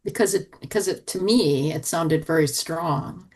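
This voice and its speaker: A woman's voice: low-pitched, normal speed, a little mellow, husky. A woman chatting casually as a panelist on a review podcast.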